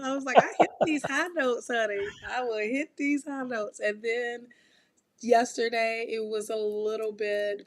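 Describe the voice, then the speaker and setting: A hoarse woman with a raspy voice, chatting casually and laughing on a live stream, calling people honey. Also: a little high. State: hoarse, recovering from illness. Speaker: tarot reader co-host. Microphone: desk mic for live stream.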